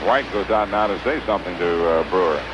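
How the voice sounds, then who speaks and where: An American man calling baseball play-by-play for 1950s radio, in measured, clipped phrases with pauses between them. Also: sits low. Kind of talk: 1950s radio sports commentary. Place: ballpark broadcast booth. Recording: noisy, dull vintage 1950s radio broadcast.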